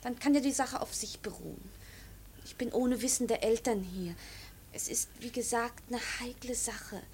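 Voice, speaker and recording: A woman's voice: fairly high pitch, normal speed, a little mellow, slight background noise, close to an everyday voice. An actress playing a chatty, nervous young woman in a radio play, coy and affectedly genteel. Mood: anxious. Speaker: radio drama actor. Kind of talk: German radio play acting.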